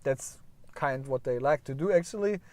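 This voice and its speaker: A man's voice: medium pitch, animated, moderate pace, darkish tone, dry recording. A man with a German accent hosting a casual livestream, rambling and correcting himself as he chats with viewers. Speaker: livestream host.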